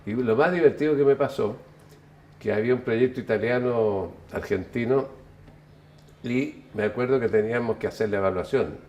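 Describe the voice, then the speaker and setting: An older man from Chile, interviewed, musing on his life in an unhurried, reflective way that often corrects itself. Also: fairly low pitch, darkish tone, dull audio. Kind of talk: relaxed reflective storytelling.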